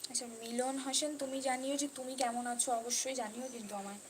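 A woman with a fairly high voice hosts a casual live stream. She talks off the cuff, circling back over her phrases and dropping in English words. Clear background noise runs through the recording. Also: steady tone, fast talker. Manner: repetitive, mixes in English words. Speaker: live stream host reading and answering viewer comments.

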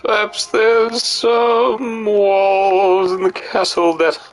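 A man's voice: high voice, level tone, very slow, soft background music. A man giving casual, playful and theatrical gameplay commentary as he streams, sometimes slipping into sing-song. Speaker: game streamer.

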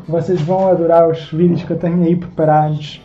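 A man's voice: on the high side, level tone, fairly quick, warm tone, muffled recording, a little hiss or hum. A young man in his twenties with a Lisbon Portuguese accent, chatting casually on a live stream as he thanks viewers by name. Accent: European Portuguese, Lisbon. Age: 20s. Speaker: live-stream host and artist. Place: small home room indoors.

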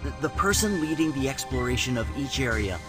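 An earnest, resourceful boy hero from a video game, played by a man. He explains urgently with lively pitch, music playing behind. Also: brisk, lightly acted. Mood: explaining. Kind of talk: video game cutscene acting.

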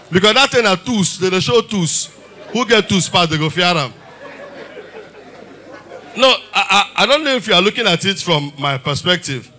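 A Nigerian man in his thirties doing a stand-up comedy set on a theater stage with a handheld mic, animated and full of rhetorical questions. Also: high voice. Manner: animated, mixes Pidgin and English, rhetorical questions. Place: large theater stage with audience.

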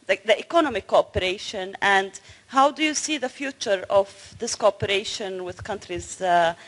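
A woman's voice: mid-range voice, a bit bright. A young woman with a Syrian Arabic accent, politely and a little hesitantly putting questions to a panel. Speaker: audience questioner, doctoral student. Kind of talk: asking questions at a panel discussion. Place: audience of a large hall.

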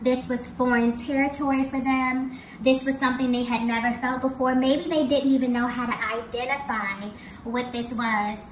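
A woman with a fairly high voice delivers an intuitive tarot reading directly to the listener. She is earnest and breaks her phrases up with small check-ins. The recording is echoey, with clear background noise. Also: flat delivery, moderate pace. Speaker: tarot reader. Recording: roomy, distant mic, clear background noise.

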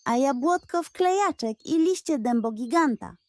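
A woman voicing a small, chirpy little sister creature in a storybook, childlike and animated. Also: high-pitched, moderate pace, no room sound, voice pushed up. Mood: cheerful.

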